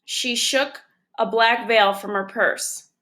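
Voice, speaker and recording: A woman reading a children's chapter book aloud, narrating it expressively and deliberately. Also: on the high side, steady tone, pushed and bright. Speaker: storybook reader.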